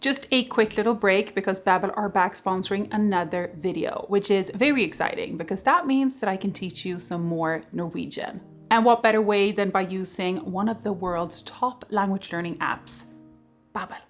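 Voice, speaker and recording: A woman's voice: medium pitch, moderate pace, soft background music. A woman in her thirties whose first language is Swedish, chatting casually in a vlog outdoors in the freezing cold, laughing often. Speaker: vlogger.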